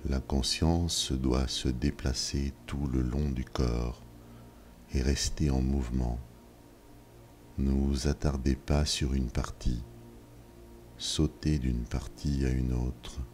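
A man leading a yoga nidra session, giving calm, measured instructions with long pauses. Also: rumbling low. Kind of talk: slow guided relaxation. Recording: soft music behind. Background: soft ambient music.

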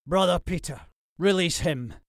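A man's voice: medium pitch, a little slow, a little mellow, dry recording, studio quality, close to an everyday voice. A man voicing a defiant, fiercely protective noble father with an emphatic, impassioned delivery in an audiobook. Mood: commanding. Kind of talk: audiobook character acting.

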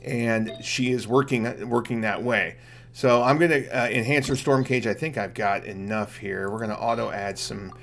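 A man's voice: mid-range voice, normal speed, vocal fry, fairly bright tone, faint music behind. A middle-aged man doing casual gameplay commentary, thinking aloud and chatting informally to viewers. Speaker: game streamer.

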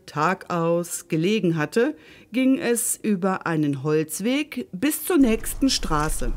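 A woman's voice: fairly low pitch, slight background noise. A woman hosting and reading a scripted children's story expressively, speaking directly to her listeners. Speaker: storyteller and host.

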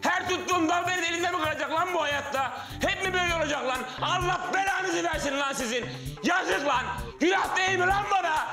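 A man's voice: medium pitch, expressive, a little fast, over music, lightly acted. An actor in his thirties playing a hapless struggling auditionee in a sitcom, eager, cheeky and rambling with awkward politeness. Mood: anguished ranting. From Tokat, Turkey. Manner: hesitant, over-polite, rambling. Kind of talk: naturalistic sitcom acting.

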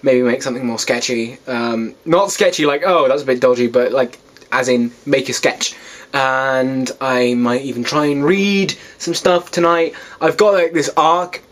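A man's voice: mid-range voice, unhurried. A seventeen-year-old man from Wales rambling casually to a webcam in his bedroom, in a self-deprecating way. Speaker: vlogger. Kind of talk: casual rambling vlog to camera. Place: small bedroom, indoors.

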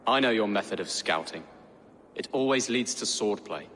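Pious young noble, low voice, soft and earnest. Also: male voice, expressive, moderate pace, a little hiss or hum. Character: gentle devout young nobleman, principled. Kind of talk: video game cutscene acting.

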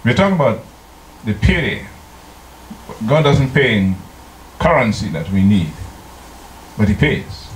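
An older man from Trinidad, a pastor preaching a slow, deliberate sermon into a handheld microphone in a church, now and then singing hymn lines. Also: mid-range voice, sing-song, slight background noise. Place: church sanctuary. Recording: echoey church room.

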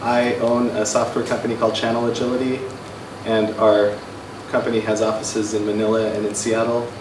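Flat-voiced man introducing himself. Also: on the low side, fairly quick, noisy background. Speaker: software company owner. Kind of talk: self-introduction. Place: large classroom. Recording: echoey classroom from far off.

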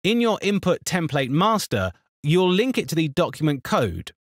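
Lively, higher-pitched male tutorial narrator. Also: moderate pace, no room sound, clean studio sound. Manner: instructional, step-by-step. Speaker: software tutorial presenter.

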